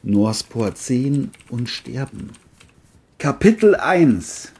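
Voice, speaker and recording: A man playing a crime novelist in an amateur radio play, speaking in a formal, deliberate manner. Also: medium pitch, very animated, a little mellow, a little hiss or hum. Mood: deadpan, narrating. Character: boastful male bestselling crime novelist. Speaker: writer and narrator of his novel.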